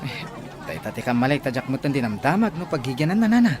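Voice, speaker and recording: A man's voice: medium pitch, big pitch swings, quick pace, close to an everyday voice. A man playing a respectful younger man in an audio drama, explaining things politely with an Ilocano Filipino accent. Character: younger man respectfully informing an elderly woman. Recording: busy crowd noise behind, distant timbre.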